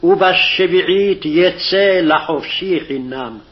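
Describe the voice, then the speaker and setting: A man's voice: high-pitched, quick pace. A man formally reciting scripture phrase by phrase, on a dull, lo-fi archival recording. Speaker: scripture reader. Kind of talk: scripted Hebrew Bible reading.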